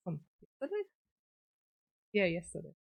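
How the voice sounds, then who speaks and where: A woman's voice: on the low side, huge pitch swings, moderate pace, gravelly, narrow, tinny audio. A woman with a German accent, a native German speaker, chatting casually as a stream host. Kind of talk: casual chat.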